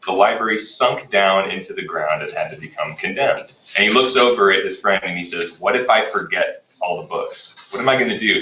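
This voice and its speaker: Man presenting a workshop, low and bright, slightly raspy from a cold, recorded far off in an echoey room. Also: a little hiss or hum. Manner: relaxed, conversational with fillers. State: getting over a cold, a little raspy. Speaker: workshop presenter, instructional design manager. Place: classroom or meeting room.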